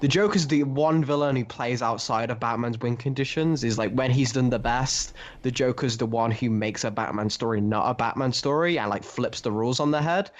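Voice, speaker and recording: A man on a podcast panel casually discussing comics, opinionated, halting and rambling as he works out his points. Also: mid-range voice.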